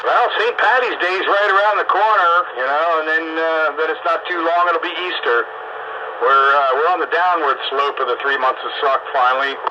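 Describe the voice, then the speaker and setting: A man's voice: a little high, moderate pace. A man chatting casually over amateur radio with folksy, sardonic grumbling. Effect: two-way radio transmission. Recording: muffled, noisy ham radio transmission. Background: clear radio static and noise.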